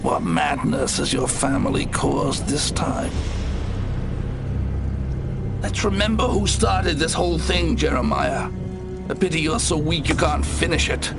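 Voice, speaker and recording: An actor playing a menacing, mocking, vengeful villain in a video game, gravelly, theatrical and threatening. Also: male voice, a little high, moderate pace. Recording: game audio under loud music.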